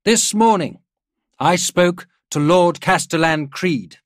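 A proud older general, acted by a male narrator, projects as if across a parade ground. His voice is raised well up in pitch and delivered as rousing, declamatory oratory in the manner of a war audiobook. The sound is close and dry. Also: animated, slow, no room sound. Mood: stern, commanding. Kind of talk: dramatised audiobook character voice.

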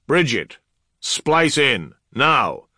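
A man's voice: lowish voice, animated, takes it slowly, fairly bright tone, dry recording, clean studio sound. A man voicing a stern male ship's officer with a clipped, commanding manner in a science fiction audiobook. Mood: urgent, commanding.

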